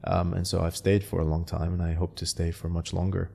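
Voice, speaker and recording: Deep, gravelly male founder as interview guest, loose and chatty with restarts. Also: fairly quick. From Canada. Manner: relaxed, many fillers and restarts. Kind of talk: casual interview talk.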